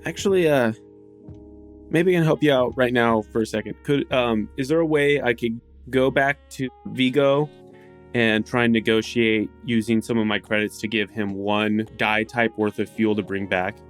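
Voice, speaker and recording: A man's voice: mid-range voice, steady tone, normal speed. A man chatting casually as a player in a tabletop role-playing game. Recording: guitar music playing behind the voice. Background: plucked guitar background music.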